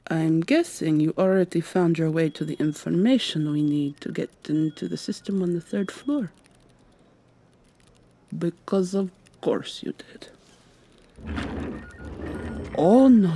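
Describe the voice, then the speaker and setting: A woman's voice: lowish voice, husky, full character voice. A woman playing a weary, sardonic vampire receptionist with a secret, acting in a scripted ASMR roleplay. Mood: wry, insistent. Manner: polite customer-service patter, hushed urgent asides.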